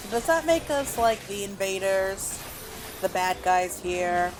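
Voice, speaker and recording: Female game streamer, mid-pitched, casual and reactive running commentary, music underneath. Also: expressive, slow, poor audio. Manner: thinking aloud, reactive. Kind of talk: casual let's play commentary.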